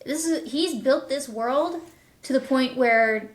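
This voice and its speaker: A woman speaking earnestly and casually as an interviewed producer, in a measured way. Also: high voice. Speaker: executive producer being interviewed. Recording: roomy echo.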